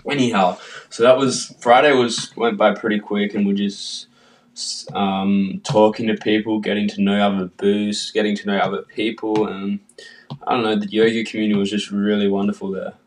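A man co-hosting a casual podcast chat, a bit rambling and relaxed, with a cold. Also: fairly low pitch, moderate pace, distant mic, low-quality recording. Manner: relaxed, rambling, guided breathing intro. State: has a cold, coughing. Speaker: podcast co-host.

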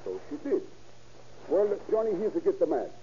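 An actor playing an excitable immigrant witness who rambles eagerly in broken English in a 1930s radio crime drama. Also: male voice, sits high, normal speed. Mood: excited, rambling. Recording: muffled, noisy old radio recording.

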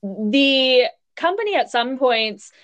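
A woman hosts a podcast interview with a smooth, resonant voice that sits a little high. Her questions are long and winding, and she gets to the point slowly. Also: very animated, a bit bright. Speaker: podcast host.

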